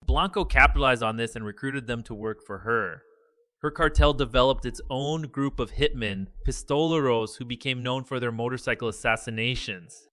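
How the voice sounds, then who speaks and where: A man reading a scripted news report in a measured, even delivery. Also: medium pitch, no echo. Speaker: news narrator.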